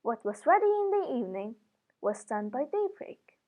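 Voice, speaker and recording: A woman reading a fairy tale aloud as scripted narration, with expressive, dramatic emphasis. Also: high voice, normal speed. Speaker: storybook reader.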